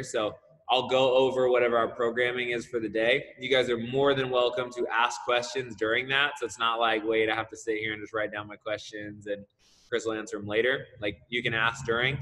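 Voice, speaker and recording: A man's voice: medium pitch, a bit bright. Man, volleyball coach, casual explaining, video call, reverberant gym. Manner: casual, explanatory, lots of fillers. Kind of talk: online coaching seminar. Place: large indoor gym.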